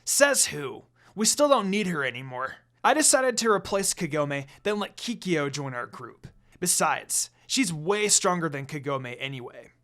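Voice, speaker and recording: A scornful young half-demon as a man reads him in a fantasy story. He snaps and snarls with defiance, his pitch moving freely. Music plays behind him. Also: big pitch swings, a little slow, hardly any pauses, fairly bright tone, heavily acted. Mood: dismissive. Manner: snapping, scoffing, snarling.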